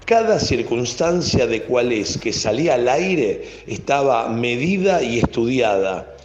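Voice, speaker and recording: A man's voice: medium pitch, big pitch swings, unhurried. An Argentine man, a radio producer, looking back on his work in an interview. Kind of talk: recollection in an interview. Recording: roomy, dull sound with music behind.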